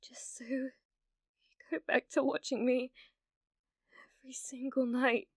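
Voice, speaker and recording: Close and dry, this is a high British female voice, a woman acting as a clingy, delusional young streamer in an ASMR roleplay. She pleads slowly and haltingly, stumbling over words. Her delivery swings from breathy whispers to desperate outbursts. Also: level tone, no echo. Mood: soft, desperate. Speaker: ASMR voice actress.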